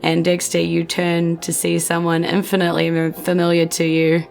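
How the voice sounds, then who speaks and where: Gravelly New Zealand woman game master. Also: on the low side, monotone, normal speed, darkish tone, faint music behind. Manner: chatty, upbeat, thanks listeners at length. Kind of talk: casual podcast chat and narration.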